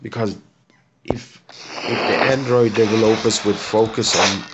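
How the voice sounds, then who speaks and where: A man from Sweden with a Swedish accent, casually commenting on a mobile game he is playing in halting, enthusiastic English full of fillers. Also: lowish voice, fairly even intonation, muffled recording. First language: Swedish or Hungarian. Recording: loud background noise.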